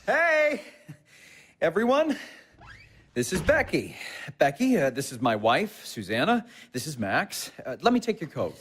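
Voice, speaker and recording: A man playing a gentle, lively husband. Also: a little high, a little slow, slightly rough, heavily acted. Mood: friendly. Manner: gentle, hesitant, apologetic. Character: mild, peacekeeping young husband. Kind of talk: audio play acting.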